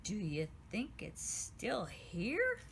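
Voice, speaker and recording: A woman reading a storybook aloud and voicing a young sprite prince, a playful but nervous boy with quick exclamations. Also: mid-range voice, huge pitch swings, gravelly, a little hiss or hum, exaggerated voice. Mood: boyish.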